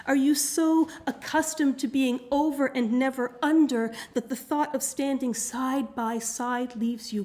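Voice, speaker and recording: Slow, high-voiced woman reciting poetry. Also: a little mellow. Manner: deliberate, measured, impassioned. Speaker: open mic reader. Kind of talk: dramatic spoken-word poetry recital.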